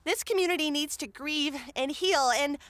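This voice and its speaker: A woman's voice: sits high, moderate pace, a bit bright, dry recording. A woman playing a breezy, falsely gracious, scheming town mayor in a comedy audio drama, with a theatrical, officious manner. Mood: smoothly deceitful.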